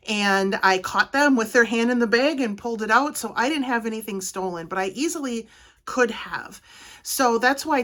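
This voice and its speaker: A woman's voice: medium pitch, fairly bright tone. A middle-aged woman from Wisconsin with a Wisconsin accent, giving practical travel advice to camera in a plainspoken, orderly way. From Wisconsin, United States. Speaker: travel vlogger. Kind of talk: casual advice talk to camera.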